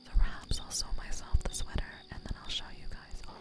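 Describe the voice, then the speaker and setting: A woman live streamer whispering softly to her viewers, playful and giggly, rambling with many fillers, close to the microphone. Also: fast. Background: music playing.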